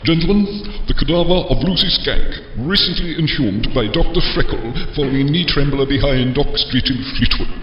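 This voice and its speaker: A man's voice: medium pitch, expressive, fairly quick, close to an everyday voice. A man playing a pompous, formal nineteenth-century medical lecturer in a comic audio drama. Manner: formal, declamatory. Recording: echoey hall, dull, distant, noisy archive pastiche.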